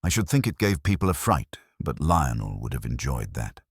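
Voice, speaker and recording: A man's voice: rumbling low, sing-song, moderate pace, slightly rough, dry recording, studio quality, exaggerated voice. A man voicing a composed, affable small-town woman with a calculating, wry and genteel manner. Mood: wry, admiring.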